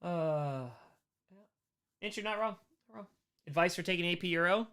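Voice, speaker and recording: A man's voice: high-pitched, moderate pace, smooth. American man hosting a casual livestream. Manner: animated, repeats short phrases for emphasis. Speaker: livestream host answering chat questions.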